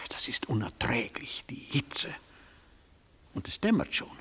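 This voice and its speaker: A man's voice: mid-range voice, sing-song, a little slow, sharp tone, husky, whispered, exaggerated voice. An actor playing a weary, appalled male interrogator in a German radio play, putting terse, sceptical questions. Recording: long reverberant hall sound.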